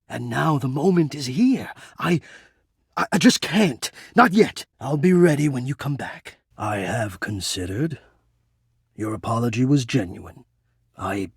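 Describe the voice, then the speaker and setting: Shy romantic suitor, breathy, formal and halting, intensely earnest, dry close sound, video game character. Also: male voice, on the high side, dry recording, exaggerated voice. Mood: flustered, pleading, then formal, reserved. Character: shy, lovestruck, courtly living ventilation system, adult male. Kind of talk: dating game character voice acting.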